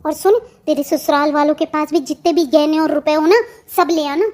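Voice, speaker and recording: A sly young sister-in-law from a cartoon melodrama, voiced by a woman. Her pitch sits high. She speaks in a coaxing, reassuring way, like someone hatching a secret plan. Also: fairly even intonation, brisk, darkish tone, close to an everyday voice. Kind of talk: melodramatic family cartoon dialogue.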